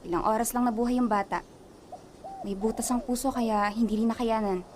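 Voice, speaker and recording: A woman's voice: fairly high pitch, fairly even intonation, brisk, background noise, close to an everyday voice. A woman with a Filipino accent playing a kindly, composed middle-aged mother in a radio drama, speaking politely. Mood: somber.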